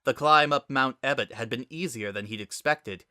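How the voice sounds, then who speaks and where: A man's voice: medium pitch, normal speed, bright, forceful tone, clean tone, dry recording, studio quality. A man reading a scripted story aloud as a dramatic narrator.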